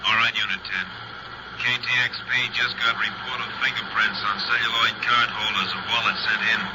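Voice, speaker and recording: An actor playing a businesslike police radio dispatcher in an old radio drama, clipped and official. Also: male voice, on the low side, fairly even intonation, a little fast, noisy background, close to an everyday voice.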